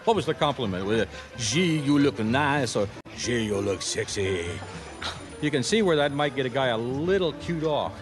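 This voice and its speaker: An actor playing a wry, slightly awkward private detective in a radio drama, with a dry, casual manner. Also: male voice, mid-range voice, big pitch swings, quick pace, few pauses, music in the background, natural voice. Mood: dry, needling.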